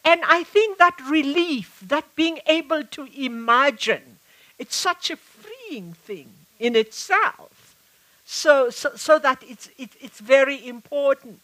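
An older South African woman talks on stage through a headset mic, taking her time and circling back to restart her thoughts. Her voice sits a little high and sounds bright and clear, and she moves along slowly. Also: animated, low-quality recording. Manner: reflective, halting with many restarts. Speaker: author and activist. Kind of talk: public conversation on stage. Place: stage at a live event.

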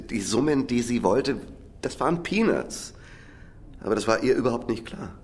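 A man's voice: on the low side, slight background noise. An actor playing a cynical, defensive middle-aged businessman under interrogation in a radio crime drama, self-justifying and swinging between muttering and heated outbursts. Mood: subdued, dismissive. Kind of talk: naturalistic German radio drama acting.

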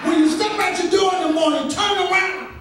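An American man preaching a Baptist sermon as a minister, with an emphatic delivery that moves between shouting and half-singing and leans on repeated refrains. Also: extremely high pitch, brisk, over a noisy crowd. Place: large church sanctuary, at the pulpit. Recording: echoey church hall from far off, congregation noise.

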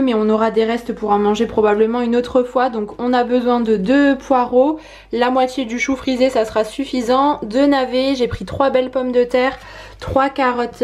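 Woman, thirties, fairly high pitch, chatty, casual cooking vlog narration, conversational. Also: darkish tone. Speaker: lifestyle and cooking vlogger. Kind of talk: casual vlog narration, talking viewers through food. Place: home kitchen, indoors.

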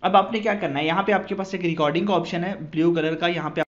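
A man's voice: sits high, fairly even intonation, quick pace. A man hosting a phone app tutorial voice-over, explaining step by step in an instructional way. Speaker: tutorial channel host. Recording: dull, muffled lo-fi voice-over.

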